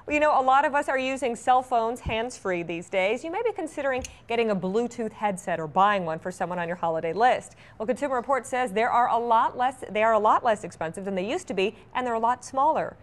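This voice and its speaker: A woman's voice: fairly high pitch, animated, fast talker, few pauses. A woman anchoring a newscast, reading scripted stories with light conversational asides. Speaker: TV news anchor.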